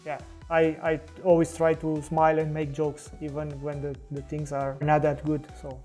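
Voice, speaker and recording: Romanian guy chatting on a podcast, a bit high pitched, keeps restarting sentences, faint music behind. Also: completely flat delivery, normal speed, mellow. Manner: hesitant, many restarts and fillers. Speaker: podcast guest, developer. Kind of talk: casual interview talk.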